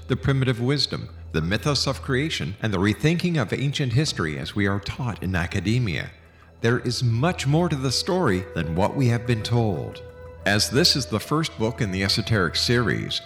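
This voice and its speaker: A man's voice: fairly low pitch, a bit bright. A man hosting a radio show, speaking in an emphatic, showman-like announcer style.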